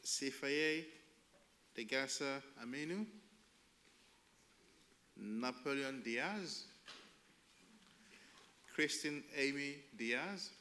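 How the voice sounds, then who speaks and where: Slow-talking man in a reverberant church. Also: medium pitch, pushed and bright. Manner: reading out names slowly, pausing. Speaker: pastor. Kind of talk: church announcement. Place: church hall. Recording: distant hall reverb, faint noise.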